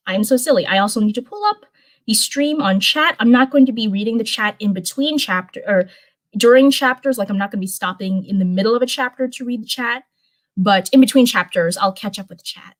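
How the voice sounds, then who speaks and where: A woman's voice: medium pitch, normal speed. A woman hosting a live stream in an informal, chatty way, talking to viewers and reading fan fiction aloud. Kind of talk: casual livestream chat and live story reading.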